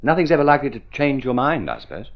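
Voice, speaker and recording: An actor in a mid-century radio drama playing a kindly, self-deprecating young gentleman who speaks hesitantly and stammers. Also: mid-range voice, big pitch swings, quick pace. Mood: wistful, resigned. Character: awkward, lovesick, kindly rich young gentleman. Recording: muffled vintage radio recording.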